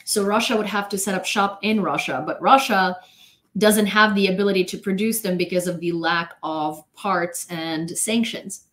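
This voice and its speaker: A woman's voice: mid-range voice, normal speed, a bit bright, slight background noise. A young woman whose first language is Ukrainian, giving blunt, opinionated news commentary on a casual livestream from her bedroom, with many fillers and restarts. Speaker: news vlogger. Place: small bedroom at home.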